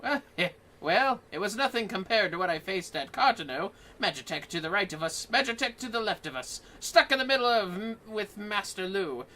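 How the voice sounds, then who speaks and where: A man's voice: sits high, lively intonation, moderate pace, hardly any pauses, bright, forceful tone. A man putting on a boastful, swaggering young adventurer. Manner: theatrical, pompous. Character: boastful swaggering young male adventurer, self-styled hero.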